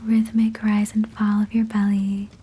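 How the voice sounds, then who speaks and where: A woman guiding a sleep meditation in a breathy whisper, soothing and gentle, with measured phrasing. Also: mid-range voice, monotone. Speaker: sleep hypnosis guide.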